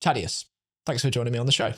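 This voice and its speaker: Male podcast host, medium pitch, scripted interview delivery. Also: fast talker, a bit bright, slightly rough.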